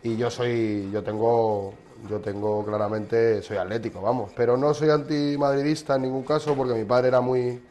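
Hombre charlatán contando anécdotas. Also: male voice, medium pitch, steady tone, normal speed, hardly any pauses, darkish tone. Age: 30s. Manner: chatty, anecdotal, rambling with restarts. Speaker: sports journalist guest. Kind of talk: casual interview chat. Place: kitchen.

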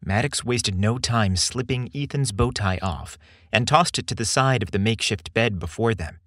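A man narrating a scripted romance story as a podcast host, in an expressive storytelling style. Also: fairly low pitch, moderate pace, no echo, studio quality.

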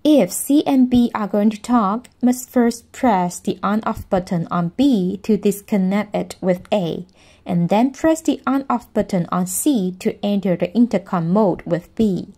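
A woman with a mid-pitched voice narrates a scripted how-to guide. She delivers each instruction in a measured, step-by-step way, as the narrator of a product tutorial. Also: mellow.

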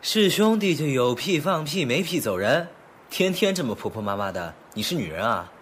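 A man's voice: medium pitch, sing-song, moderate pace, fairly bright tone, a little hiss or hum. A young man acting in an audio drama as a blunt, sardonic best friend who probes and teases. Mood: exasperated, scolding.

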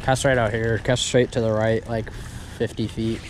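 A man's voice: fairly low pitch, level tone, moderate pace, a little hiss or hum. A young man hosting a casual fishing vlog from an open boat on a lake, talking into an action camera in a laid-back, rambling way. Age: young adult. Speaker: fishing vlogger host. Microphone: action camera close to face.

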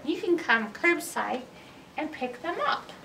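Slow, very animated high-voiced woman. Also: vocal fry. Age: middle-aged. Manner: animated, exaggerated, teacherly, asking questions. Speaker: children's librarian, story time host. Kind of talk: read-aloud story time for young children. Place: indoors in a library, by bookshelves. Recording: roomy distance from mic, background noise.

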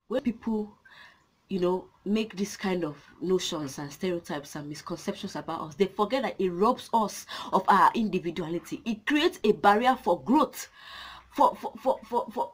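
A young woman from Nigeria, Nigerian accent, rants animatedly to a camera close in front of her. Her voice is husky, her English that of a second language, and the small room keeps it close. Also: mid-range voice. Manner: passionate, animated, gesturing. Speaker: vlogger. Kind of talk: ranting to camera. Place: small indoor room with a draped cloth backdrop.

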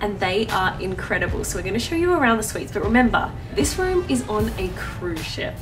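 A young woman presents a cruise ship to a handheld camera in a relaxed, friendly way. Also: medium pitch, fairly quick, over music. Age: young adult. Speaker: travel vlogger. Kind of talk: casual travel vlog tour. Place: cruise ship deck and lounges.

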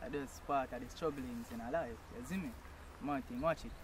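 A man's voice: medium pitch, brisk, noisy background. A young man with a Jamaican accent, talking in a casual outdoor interview and chanting his verses in patois. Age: young adult. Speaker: artist. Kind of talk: casual interview and a cappella deejaying. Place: outdoors in a garden. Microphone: camera mic from a few feet away.

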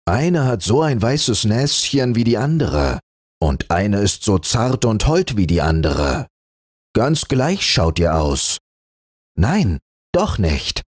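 A man voicing a puzzled father in a fairy tale, speaking in a musing, measured way. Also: fairly low pitch, sing-song, no room sound, clean studio sound, exaggerated voice. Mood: pondering. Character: puzzled middle-aged father, fair-minded.

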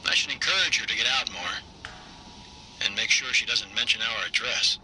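An actor playing a solitary, introspective husband who confides measured thoughts in a video game audio diary. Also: male voice, on the low side, close to an everyday voice. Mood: pensive. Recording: audio diary with clear background noise.

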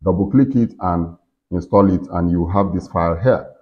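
A man in his forties with a low voice talks through a software tutorial in a casual way. He guides himself and the listener step by step. The recording is slightly dull and lo-fi. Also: normal speed. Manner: talking himself through each on-screen step. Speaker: tutorial host. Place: indoors in a furnished room.

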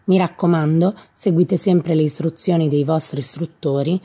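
A woman's voice: deep voice, steady tone, moderate pace, dark tone, slightly rough. A woman reading scripted instructional narration, addressing young athletes in an explanatory manner. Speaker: gym staff narrator. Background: background music.

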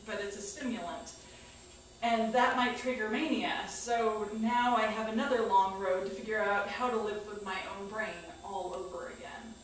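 A woman's voice: medium pitch, steady tone, normal speed. A woman in her thirties reading a wry, emphatic personal monologue to a theater audience. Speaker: spoken-word storyteller on stage. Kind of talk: scripted personal monologue read aloud. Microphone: far camera microphone. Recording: echoey theater, distant mic, faint noise.

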